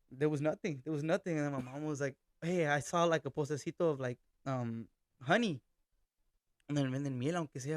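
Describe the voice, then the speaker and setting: A young man, a band's singer, chatting casually on a podcast, telling relaxed stories and mixing Spanish phrases and swearing into his talk. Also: on the high side, no room sound, studio quality. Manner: laid-back storytelling, mixes Spanish phrases and swearing. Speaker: band vocalist and accordion player.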